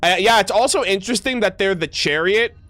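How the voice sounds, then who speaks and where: A man's voice: sits high, brisk, bright, forceful tone, no room sound. A man doing casual gaming commentary as a streamer, enthusiastic and slangy, often stumbling over his words and reading game text aloud. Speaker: streamer reacting to a video game.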